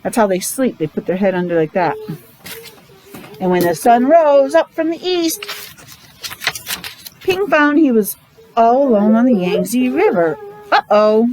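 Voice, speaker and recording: A woman with a somewhat high voice reads a picture book for children. She speaks as a teacher would, breaking off for casual explanations and simple questions. There is faint noise behind her. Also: very animated, a little slow, pauses often, a little mellow, poor audio. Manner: child-directed, asking questions, repeating words for emphasis. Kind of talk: animated read-aloud with casual explanatory asides.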